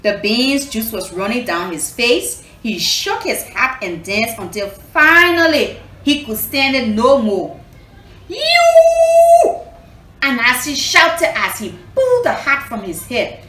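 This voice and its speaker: A woman's voice: on the high side, sing-song, unhurried, sharp tone. A woman hosting a children's storytelling session, teacherly, repeating herself and putting questions to her listeners. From Liberia. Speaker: storytelling host reading to children. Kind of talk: animated children's story reading and lesson. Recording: roomy echo, clear background noise.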